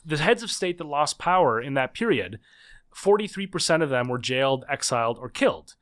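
A man in his thirties, a guest author in a conversational interview, explaining in quick, animated bursts with frequent restarts. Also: on the high side. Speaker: guest, political scientist and author.

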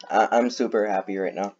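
Excitable low-voiced guy, lo-fi mic. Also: noisy background. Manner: excitable, laughs often, rambling with many fillers. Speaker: gaming YouTuber. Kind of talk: casual gameplay commentary.

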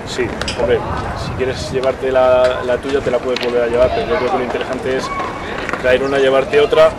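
Man explaining outdoors amid loud street noise. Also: medium pitch, moderate pace, distant mic. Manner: relaxed, explanatory, with hesitations. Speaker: photo association organizer. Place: city square outdoors. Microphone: camera mic from a distance.

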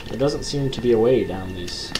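Low-voiced young man joking through horror game. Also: animated, normal speed, over music. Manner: joking, reads on-screen text aloud, nervous mock bravado. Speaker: gaming YouTuber. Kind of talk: horror game let's play commentary. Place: small bedroom, indoors. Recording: noisy home recording.

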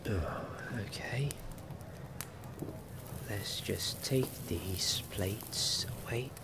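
A man playing a kindly, attentive innkeeper in an ASMR fantasy roleplay, speaking softly and gently, often dropping to a breathy whisper. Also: on the low side, full character voice. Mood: gentle, soothing. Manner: gentle, soothing, unhurried. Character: kindly, attentive male innkeeper of a wizarding pub. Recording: background ambience noise under close voice.

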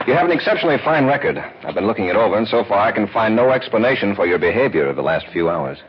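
An actor playing a stern, formal space patrol commander with crisp authority in a 1950s radio drama. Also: male voice, fairly low pitch, big pitch swings, fast talker. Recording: noisy, dull old 1950s radio recording.

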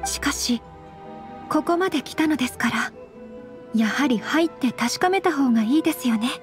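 A refined, earnest young noblewoman for an anime game. The voice is high and gentle, with thoughtful, formal and measured phrasing. Music is clearly heard behind it. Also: lively intonation. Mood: hesitant. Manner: very polite, measured, formal speech. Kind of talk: anime game character acting.

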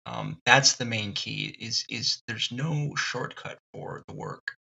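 A man hosting a solo podcast, thinking out loud in a thoughtful, halting way with many ums and restarts. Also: mid-range voice, creaky, slightly rough, muffled recording. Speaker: podcast host. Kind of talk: reflective solo podcast monologue.

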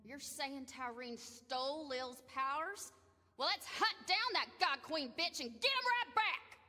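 A woman's voice: sits high, a little slow, sharp tone, long reverb tail, soft background music. An actress playing a brash, blunt, foul-mouthed young woman in a video game cutscene. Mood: outraged.

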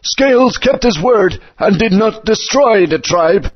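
A man's voice: high voice, expressive, measured pace, breathy edge, heavily acted. An actor playing an old, fatherly dinosaur guardian in a video game, speaking in a grand, storytelling manner. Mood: wise. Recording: dull lo-fi game audio.

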